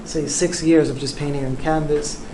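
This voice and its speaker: Soft-spoken New artist in a roomy studio. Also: male voice, a little high, flat delivery. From Cuba, raised in New York. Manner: soft-spoken, unhurried, with frequent ums. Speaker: visual artist, interviewee. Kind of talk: relaxed interview answers. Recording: roomy studio, faint background noise.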